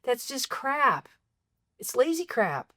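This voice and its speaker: A woman co-hosting a film podcast, ranting in exasperation about a movie's plot holes, her voice going a bit raspy. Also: high voice, slow pace, dry recording. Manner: exasperated, ranting, animated complaints. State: voice getting raspy. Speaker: podcast co-host. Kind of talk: casual film critique chat.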